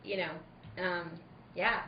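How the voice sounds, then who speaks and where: A woman's voice: lowish voice, steady tone, slow pace, background noise. Gushing woman in an echoey room. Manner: gushing, self-interrupting, giggly. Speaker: guest actor. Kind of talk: casual chat. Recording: echoey room, dull lo-fi sound, others chattering.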